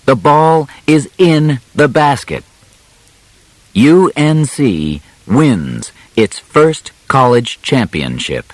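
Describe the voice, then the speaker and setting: A man narrating an audiobook, reading a simple scripted story in deliberate, clearly separated short phrases. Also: medium pitch, very animated, no room sound.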